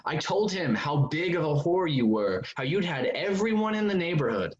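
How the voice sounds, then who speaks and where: Low, menacing jealous man, acted by a woman. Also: sing-song, normal speed, a little mellow, dull audio. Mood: taunting. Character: menacing, jealous abusive man named Vincent, taunting and crude. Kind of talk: staged play reading.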